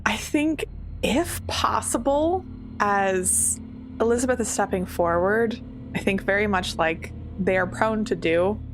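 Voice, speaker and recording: A woman's voice: medium pitch, a little slow. A woman playing a tabletop roleplaying game, casually narrating what her character feels and does. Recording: clearly noisy background.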